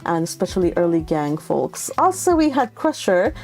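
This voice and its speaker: A woman's voice: on the low side, sing-song, moderate pace, a little mellow. A woman hosting a live read-along, chatting casually and affectionately with her viewers, giggly and informal. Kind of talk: casual livestream chat with viewers.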